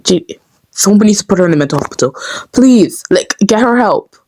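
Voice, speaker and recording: A woman's voice: mid-range voice, animated, fairly quick, a little mellow, dry recording. A woman chatting casually behind the scenes as a dub creator, rambling and talking to herself. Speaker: creator dubbing a comic.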